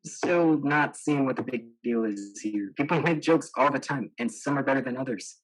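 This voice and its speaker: A man's voice: medium pitch, moderate pace, natural voice, poor audio. A young man playing a smug, tactless date in a student stage drama, glib and dismissive. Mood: glib, defensive. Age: young adult.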